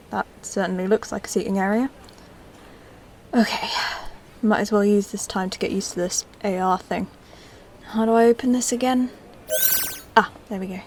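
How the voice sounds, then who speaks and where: An actress plays a female lieutenant in a sci-fi audio drama. Her medium-pitched voice is casual and curious, as if thinking aloud, over faint background noise. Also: darkish tone, natural voice. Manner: casual, muttering to herself. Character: curious, informal female lieutenant adrift in the future. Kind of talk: science-fiction audio drama acting.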